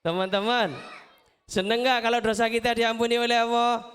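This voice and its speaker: A man giving an animated, interactive Islamic motivational talk to schoolchildren, asking questions and repeating phrases. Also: extremely high pitch, moderate pace, slight background noise. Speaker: children's storyteller and motivational speaker. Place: live event at a school before children.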